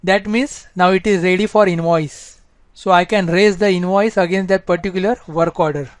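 A woman giving a step-by-step software training lecture as an instructor, explaining over slides. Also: lowish voice, fairly even intonation, normal speed, dry recording.

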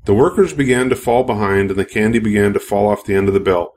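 An older man reading a children's picture book aloud as an expressive storyteller. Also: lowish voice, fast. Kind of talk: scripted narration, picture book read-aloud.

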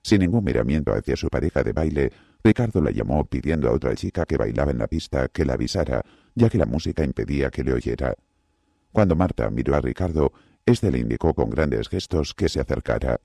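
Very deep gravelly male narrator. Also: lively intonation, a little fast, dark tone, no room sound, studio quality. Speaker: audiobook narrator. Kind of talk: scripted narration.

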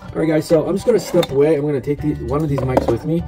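A man's voice: a little high, rapid-fire, music in the background. A man giving a casual, chatty, salesy product review.

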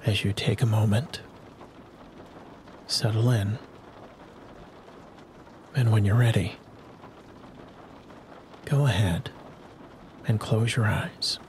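Slow, soothing low-voiced man guiding sleep hypnosis. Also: steady tone, darkish tone, a little hiss or hum. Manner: soothing, unhurried, with long pauses. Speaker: sleep hypnosis narrator and host. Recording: rain and thunderstorm sounds behind the voice. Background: rain and distant thunder ambience.

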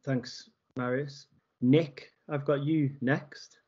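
A man's voice: medium pitch, fairly even intonation, slow pace, a little mellow, breathy edge. A man chairing an online academic discussion, summing up points and passing the floor to speakers. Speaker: seminar chair. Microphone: video call. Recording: dull video call audio.

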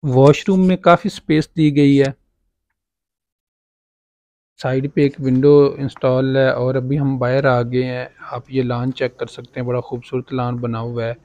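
A man's voice: mid-range voice, little pitch movement, unhurried, dark tone, dry recording. A man with a Pakistani Urdu accent gives a casual walking tour of a farmhouse as a property agent, pointing things out as he goes with many fillers. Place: outdoors on a farm property, walking.

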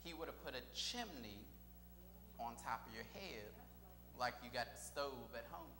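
A man's voice: mid-range voice, expressive, normal speed, gravelly, background noise. A middle-aged male minister preaching call-and-response style, chanting phrases and quizzing the Scripture reader, recorded on a pulpit mic in a small church. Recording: echoey church room, long reverb, faint organ.

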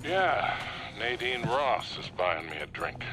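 An actor playing a sly, charming older fortune hunter in a video game, with a dry, droll manner. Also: male voice, fairly low pitch, very animated, slow pace. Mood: dry, smooth. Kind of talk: naturalistic video game acting. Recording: loud music behind the voice.

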